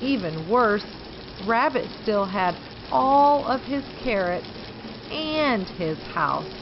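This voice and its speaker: A woman's voice: mid-range voice, lively intonation, slow, dull audio, background noise. A middle-aged or older woman hosting a children's story time, speaking expressively to children and often asking them questions. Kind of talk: storybook reading and chatting to children.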